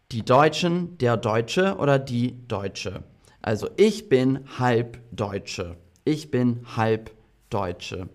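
A man teaching a slow classroom language lesson to a group of students, repeating each word and phrase clearly for learners. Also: mid-range voice. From half German, half British. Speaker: German teacher.

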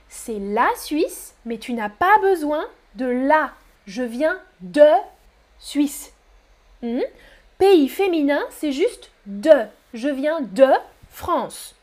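A woman teaching a live French lesson, reading out viewers' chat messages and praising their answers with repeated encouragement. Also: high-pitched. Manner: slow, careful articulation for learners.

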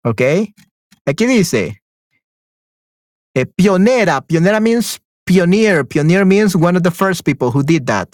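A man's voice: high voice, big pitch swings, slow pace, a little mellow, no echo, clean studio sound. Upbeat bilingual male Spanish teacher. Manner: switches between Spanish and English, repeats affirmations. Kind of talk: online group class, reading and quizzing. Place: online class, talking to students.

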